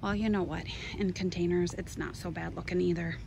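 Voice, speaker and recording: A woman's voice: fairly low pitch, fairly even intonation, brisk. A woman chatting casually and off the cuff as she gives a garden tour, wandering between exclamatory asides. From Minnesota, United States. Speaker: gardening vlogger. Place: outdoors in a garden. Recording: noisy outdoor handheld recording. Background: clear outdoor ambient noise.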